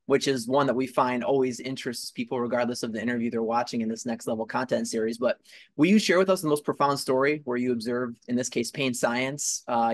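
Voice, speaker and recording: Man, interview host, eager, casual, middle pitch, dry sound. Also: dry recording. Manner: eager, casual, many fillers. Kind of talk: upbeat interview hosting.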